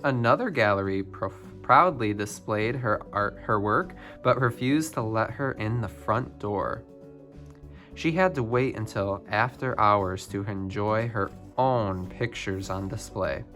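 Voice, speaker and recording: A man's voice: fairly low pitch, unhurried, sharp tone. Man reading a picture book aloud over music. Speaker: storybook reader. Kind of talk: read-aloud of a children's picture book biography.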